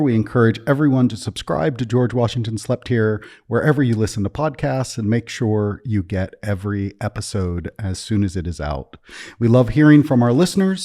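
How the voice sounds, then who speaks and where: A man's voice: medium pitch, dark tone. A man hosting a podcast, reading a friendly scripted intro and then chatting casually with his guest, with some ums. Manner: friendly, reads promos crisply, then loose with fillers. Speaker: podcast host.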